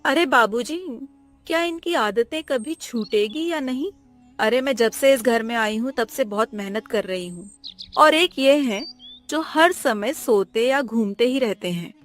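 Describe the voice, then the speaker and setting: A woman's voice: fairly high pitch, unhurried, soft background music, natural voice. A woman voicing a fed-up, hardworking young village wife who complains in an aggrieved way in a cartoon story. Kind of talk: Hindi cartoon moral story dialogue.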